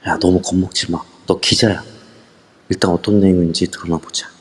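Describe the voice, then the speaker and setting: A man's voice: sits low, level tone, brisk, husky. A man voicing a brusque young male reporter who scolds his scared colleague impatiently. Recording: long reverb on a voice chat app.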